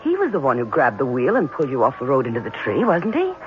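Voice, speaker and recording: A woman's voice: rumbling low, huge pitch swings, fast, noisy background, exaggerated voice. A woman acting in an old-time radio drama, playing a devoted, romantic young fiancée with a theatrical delivery. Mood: reassuring. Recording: dull vintage radio recording, music behind.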